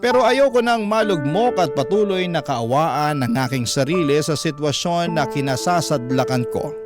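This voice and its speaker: A Filipino man narrates a letter in a dramatic radio storytelling style. His voice sits a little high for a man. A clear music bed plays behind him. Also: expressive, moderate pace.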